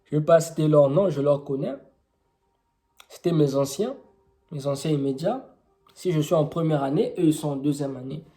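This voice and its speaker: Mellow-voiced Congolese man explaining. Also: fairly high pitch, normal speed, long pauses. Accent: Congolese French. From Congo-Brazzaville. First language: Kituba. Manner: explanatory, with rhetorical questions and many fillers. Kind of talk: informal teaching testimony.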